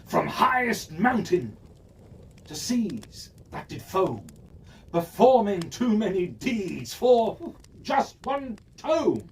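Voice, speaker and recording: A storyteller reading a rhyming fairy tale for children in a measured, sing-song cadence. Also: medium pitch, sing-song. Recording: distant mic, faint hiss.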